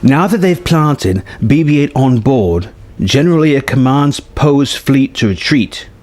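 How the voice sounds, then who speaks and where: A man's voice: medium pitch, expressive, measured pace, a little mellow. A man reading out a scripted film story treatment as narrator, in present-tense prose. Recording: music behind the voice. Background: music.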